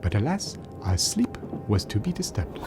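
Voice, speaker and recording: A man narrating a scripted mystery story in a theatrical, drawn-out manner. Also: lowish voice, big pitch swings, over loud music.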